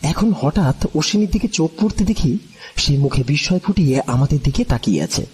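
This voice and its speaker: A male narrator with a very husky, mellow voice delivers a scripted first-person story. His pitch moves in an animated, dramatic way across the sentences. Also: brisk.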